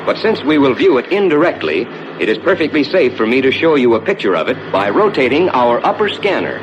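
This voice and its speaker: An American man playing a rocket ship captain, narrating a scripted 1950s ride to his passengers in a measured, reassuring, explanatory way. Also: big pitch swings, natural voice. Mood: assured, explanatory. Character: assured male rocket ship captain guiding passengers through space. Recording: dull 1950s soundtrack with loud rumbling noise.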